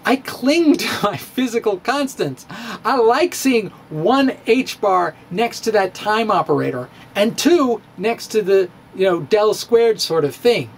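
A middle-aged man reads his physics blog aloud in a playful, theatrical way. His pitch swings widely and he takes his time. A close studio microphone in a book-lined home study picks him up over a faint hum. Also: animated, a little slow. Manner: playful, theatrical, with chuckles and asides. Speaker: physics blogger. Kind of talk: dramatic reading of his own blog. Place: home study with bookshelves, indoors. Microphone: studio microphone on stand, close.

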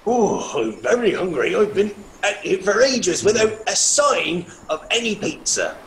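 A young man playing a gruff, bossy pirate captain in a children's puppet show, with theatrical exclamations, easily confused. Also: sits high, very animated, unhurried, a bit bright, heavily acted. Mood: hungry, eager. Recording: roomy, noisy home recording.

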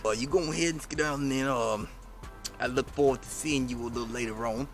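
A man's voice: medium pitch, brisk, faint music behind, natural voice. A man with a Memphis Southern accent playing a sleazy, flirtatious backyard mechanic in an improvised outdoor comedy skit, talking in a slick, rambling drawl. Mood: sly. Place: outdoors in a suburban driveway.

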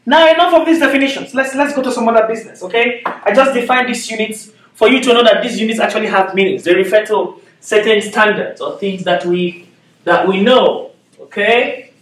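A man's voice: high-pitched, moderate pace, fairly bright tone, far from the mic. Nigerian-accented man lecturing in an echoey room. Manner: explains step by step, often checks in with "okay?". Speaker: physics lecturer. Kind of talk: whiteboard lecture. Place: classroom with whiteboard, indoors. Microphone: far camera.

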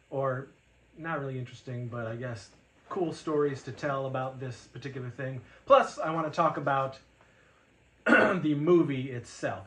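A man in his forties hosting a casual chat, speaking loudly and projecting, with frequent chuckles. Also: mid-range voice, reverberant. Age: 40s. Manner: loud, projecting voice, chuckles often. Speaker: podcast host. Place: living room full of shelves.

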